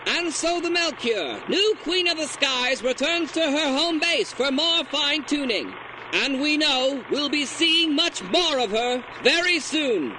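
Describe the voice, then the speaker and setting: Piercing high newsreel announcer. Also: male voice, animated, measured pace, bright, forceful tone, heavily acted. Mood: grandiose. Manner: declamatory, pompous. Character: grandiose 1930s newsreel announcer. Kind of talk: 1930s newsreel narration. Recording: noisy old newsreel soundtrack.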